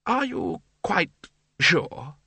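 A man's voice: high voice, big pitch swings, extremely slow, bright, forceful tone, husky, no room sound, pitched above the performer's own voice, exaggerated voice. A man voicing an awkward, fussy senior wizard in an audiobook, polite and hesitant with stammers. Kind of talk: audiobook character voice.

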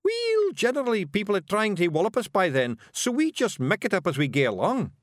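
Rob Anybody, a loud Scottish fairy. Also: male voice, sits high, huge pitch swings, fairly quick, no echo, clean studio sound, pitched above the performer's own voice. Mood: cheery. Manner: loud, boisterous, cheerful. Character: tiny brash male fairy warrior chief.

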